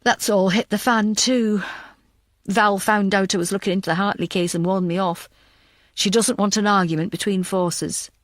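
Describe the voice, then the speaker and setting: A woman's voice: mid-range voice, fairly even intonation, moderate pace, no echo, studio quality, natural voice. A woman narrator playing a weary, tearful female police detective in an audiobook, self-blaming and defensive. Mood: frustrated, self-blaming.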